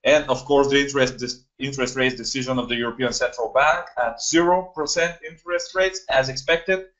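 A man's voice: mid-range voice, fairly quick, fairly bright tone. A young man from Bulgaria, hosting a live webinar in a chatty way with many fillers, recorded on a computer mic in a small room. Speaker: webinar host. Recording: dull lo-fi webinar audio.

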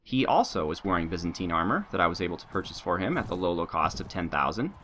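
A man narrating his game in a lively, joking way, his pitch swinging widely as he urges on his men and teases his enemies. He sounds slightly sick, a touch nasal with a sore throat, on a lowish voice with a bright, forceful edge. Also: fast talker, a little hiss or hum. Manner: animated, joking, talks to his troops and viewers. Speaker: let's play host. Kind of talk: gameplay commentary.

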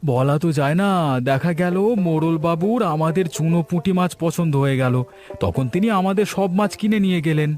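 A man's voice: on the high side, brisk, a little mellow, close to an everyday voice. A man voicing a practical village fisherman. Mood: chatty. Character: village fisherman and father, steady. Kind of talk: cartoon voice acting. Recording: faint music under the voice.

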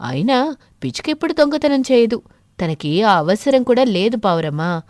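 A woman voicing an earnest, puzzled crow farmer in an animated fable, troubled and questioning. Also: fairly high pitch, moderate pace, no room sound, studio quality.

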